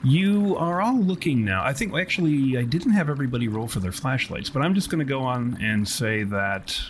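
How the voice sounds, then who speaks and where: A man's voice: medium pitch, animated, moderate pace, a little hiss or hum. A man improvising narration as game master of a tabletop roleplaying game, with wordy, storyteller phrasing.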